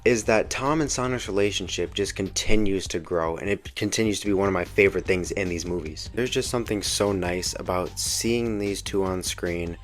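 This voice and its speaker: Young guy doing a casual film review, lowish voice, slangy fan talk, music playing behind him. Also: moderate pace, hardly any pauses. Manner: slangy, informal, earnest fan enthusiasm. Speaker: video essay host reviewing a film. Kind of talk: casual opinion commentary. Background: background music.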